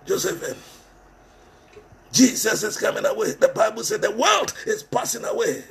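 A man's voice: high-pitched, huge pitch swings, moderate pace, creaky, a bit bright. A middle-aged man with a Ghanaian accent preaching a sermon with passion, repeating phrases for emphasis and switching between English and Twi, recorded on a headset mic in a small room.

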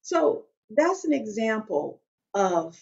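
A woman in her forties with a deep, loud voice, talking straight to the camera in an emphatic, conversational way and often checking in with "okay?". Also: sing-song, slow, gravelly, lo-fi sound. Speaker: vlogger. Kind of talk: direct-to-camera talk. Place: small room at home.

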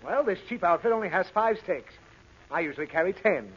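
An exasperated, fussy father in 1930s radio comedy. His voice sits high and leaps around in pitch. The old recording is muffled, with noise throughout. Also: animated, brisk, slightly rough. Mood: flustered. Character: exasperated, flustered father of a pesky child.

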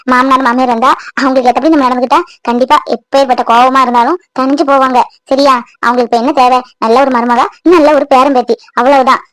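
A woman's voice: high-pitched, a bit bright, no room sound, rough recording. A woman voicing a firm, motherly older-sister figure who lectures and reassures a younger woman. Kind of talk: Tamil domestic audio drama.

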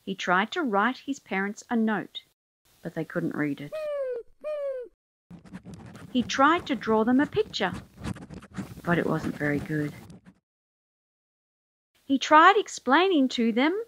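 A woman's voice: fairly high pitch, very animated, takes it slowly, pauses often, a bit bright, no room sound, rough recording. A woman reading a children's picture book aloud as storyteller, expressively, drawing out key words for emphasis.

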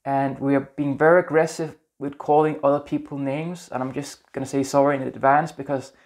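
Gentle Danish-accented man talking to camera. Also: medium pitch, level tone. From Denmark. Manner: earnest, gentle, slightly halting with fillers. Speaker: spiritual vlogger. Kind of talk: casual talk to camera. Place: small room indoors. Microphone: lapel mic.

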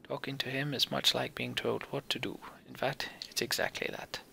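An earnest young video game prince, acted out by a man, speaks with formal, slightly haughty care. The voice is bright with a gravelly creak, over faint background noise. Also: medium pitch, flat delivery, moderate pace, heavily acted. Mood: resigned.

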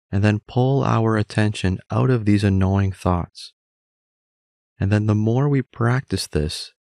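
Calm guy doing guided meditation, really slow n gentle, bit of vocal fry. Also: fairly low pitch, dry recording, clean studio sound. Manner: calm, measured, gently instructive.

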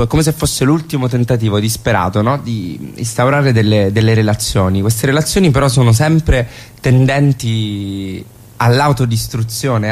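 A man's voice: mid-range voice, a little mellow. A man speaking as a theatre guest in a radio interview, reflecting philosophically and often adding a questioning tag. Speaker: playwright and actor, interview guest.

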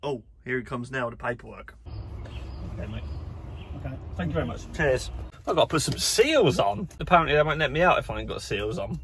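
A man in his thirties with an English accent, chatting casually and excitably as a trucker vlogging from his cab. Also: mid-range voice, a little fast. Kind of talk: casual vlog chat to camera. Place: lorry cab and loading yard. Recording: clear vehicle and outdoor noise.

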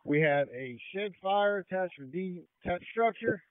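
A man's voice: high voice, lively intonation, measured pace, clear, resonant tone, no echo. A man speaking as a fire officer over two-way radio in terse, procedural emergency phrasing. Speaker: fire officer on incident command. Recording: tinny narrow-band radio transmission.

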